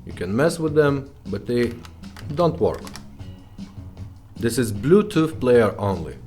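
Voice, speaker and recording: Mellow-voiced man giving a casual demo. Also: mid-range voice, very animated, takes it slowly, faint music behind. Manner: informal, checks in with "okay?" and "right?". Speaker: presenter and car radio retrofitter. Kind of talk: casual product demo talk.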